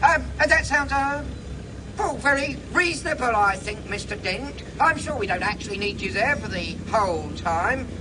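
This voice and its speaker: A man's voice: very high voice, lively intonation, moderate pace, recorded from a distance, noisy background. A man playing a flustered, obsequious English official in a radio comedy, hesitant and fussy. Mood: flustered, grateful.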